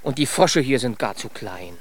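An actor playing a curious, skeptical but amiable stork in a children's radio play, given to wondering exclamations. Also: male voice, medium pitch, animated, normal speed, breathy edge. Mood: amiable, reluctant.

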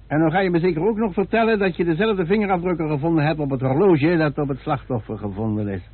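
An actor playing an impatient, sceptical coroner with a dry, probing manner in an old Dutch radio drama. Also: male voice, on the high side, fast talker, husky. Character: impatient, sceptical male coroner questioning an inspector. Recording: muffled tinny old radio recording.

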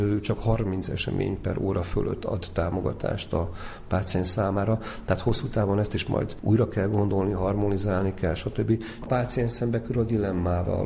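A man's voice: on the low side, creaky, dark tone. A man giving an explanatory interview as an expert. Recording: faint background noise.

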